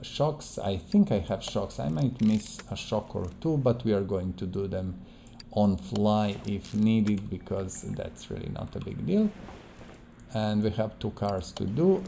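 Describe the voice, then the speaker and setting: Low, gravelly non-native male game commentator. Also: warm tone, slight background noise. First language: not English. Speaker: gaming video host. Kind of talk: casual playthrough commentary.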